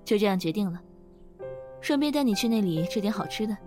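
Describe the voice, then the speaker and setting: A woman acting a composed, caring office boss in an audio drama, with an assured, gently teasing manner. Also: medium pitch, expressive, a little fast, soft background music, lightly acted. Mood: calm, caring.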